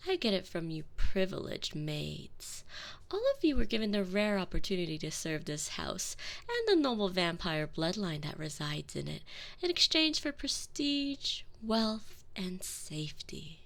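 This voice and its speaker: Woman doing a vampire mistress, super slow and measured, gravelly fry, keeps sinking to a whisper, binaural mic. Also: on the low side, fairly bright tone, heavily acted. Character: cold, elegant female vampire mistress, menacing. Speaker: audio roleplay performer. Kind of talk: binaural audio roleplay. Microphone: binaural microphone.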